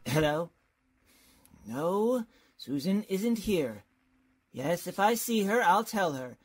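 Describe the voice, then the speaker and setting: An actor playing a flustered, put-upon college dean with fussy, sardonic delivery in an old-time radio comedy. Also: male voice, sits high, sing-song, takes it slowly, noisy background, full character voice.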